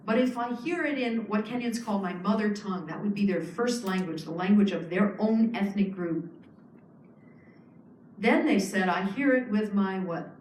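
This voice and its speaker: A woman's voice: mid-range voice, normal speed, darkish tone, distant mic, a little hiss or hum. A woman giving a public lecture, explaining earnestly with frequent fillers and restarts. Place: lecture hall. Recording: echoey hall, speaker off-mic.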